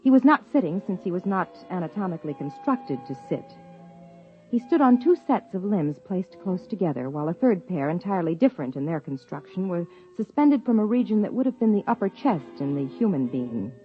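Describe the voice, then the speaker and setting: A woman's voice: on the low side, expressive, soft background music, lightly acted. Woman playing an earnest scientist wife. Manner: earnest, brisk, persuasive. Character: eager scientist wife, narrator of the story. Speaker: narrator and lead character. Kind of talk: 1950s radio drama acting. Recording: dull 1950s radio broadcast.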